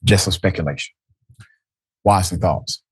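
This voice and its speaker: A man's voice: sits low, measured pace, husky. Emphatic man hosting political commentary. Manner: emphatic, rhetorical questions. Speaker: show host.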